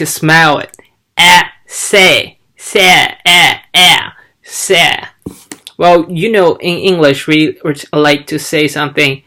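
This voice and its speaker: Slow halting man. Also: fairly high pitch, sharp tone. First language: Chinese. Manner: slow, halting, demonstrates sounds aloud. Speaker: language learner vlogger. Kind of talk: casual teaching vlog.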